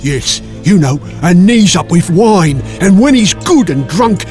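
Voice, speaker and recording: A man's voice: sits high, expressive, a little slow, a little mellow, faint music behind. A man voicing a mean, scheming dwarf villain in an audiobook, muttering and impatient. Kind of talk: audiobook character voice.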